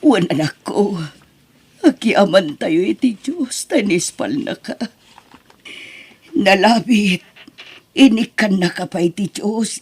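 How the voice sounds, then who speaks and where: A woman's voice: fairly low pitch, darkish tone, slightly rough, heavily acted. A woman with an Ilocano accent playing a worried, devout mother who pleads over her wayward son in an audio drama. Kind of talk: melodramatic Ilocano radio-style drama acting.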